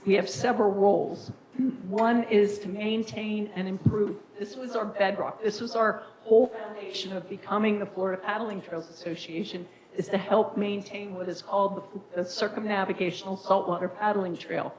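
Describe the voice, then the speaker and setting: A raspy-voiced woman gives a council presentation in a casual, friendly way, with plenty of fillers. Her voice sits mid-range in pitch. The chamber around her is noticeably echoey. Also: fairly even intonation, moderate pace, a crowd in the background. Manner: friendly, informal presenting style with frequent fillers. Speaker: nonprofit director giving a presentation. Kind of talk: public presentation at a council meeting.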